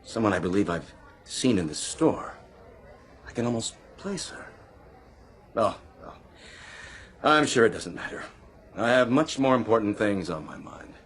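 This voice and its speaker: An actor playing a fussy, pompous department store floor manager in a radio drama, with an officious, clipped manner. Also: male voice, on the low side, expressive, measured pace, pauses often. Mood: puzzled.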